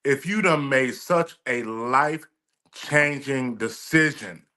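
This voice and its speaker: A man with a bright, forceful voice talks casually as a podcast co-host. He tells stories emphatically and swears along the way, stressing his points hard. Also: mid-range voice, slow. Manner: emphatic, profane, storytelling. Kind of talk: casual chat.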